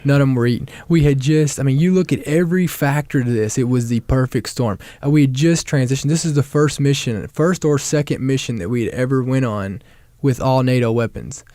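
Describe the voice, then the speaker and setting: A man with a gravelly voice, recounting combat in an interview as a veteran, emphatic and repeating himself. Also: medium pitch, fairly quick, few pauses, dark tone, no room sound. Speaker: war veteran being interviewed.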